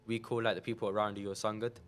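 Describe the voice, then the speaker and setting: Young man, Punjabi native speaker, relaxed guest telling his story, lowish voice. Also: rapid-fire. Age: young adult. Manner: relaxed, hesitant storytelling with fillers. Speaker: podcast guest.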